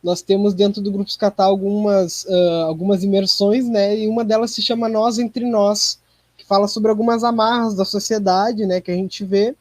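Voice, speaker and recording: Brief: Brazilian man, high-pitched voice, dry close sound, informal conversational guest with frequent tag questions. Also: flat delivery, moderate pace, a little mellow, dry recording. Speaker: theatre group member, interview guest. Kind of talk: conversational interview answer.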